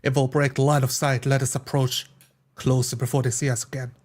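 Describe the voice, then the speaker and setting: A man with a mid-pitched, very even voice plays a character in a tabletop roleplay. His delivery is formal and deliberate, with little melodic movement even when he describes his actions.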